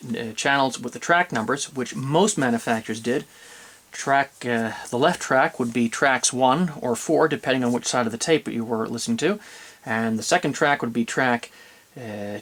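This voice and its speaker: A man's voice: medium pitch, fairly bright tone. A man presenting an unscripted, methodical demonstration of vintage audio gear, explaining step by step and correcting himself as he goes.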